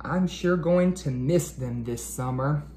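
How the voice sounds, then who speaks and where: A man voicing a fond, wistful woman schoolteacher from a picture book, with slow, stretched-out phrasing. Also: a little high, a little mellow, roomy sound. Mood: wistful, then excited. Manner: slow, stretched-out calling and musing. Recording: noticeable background noise.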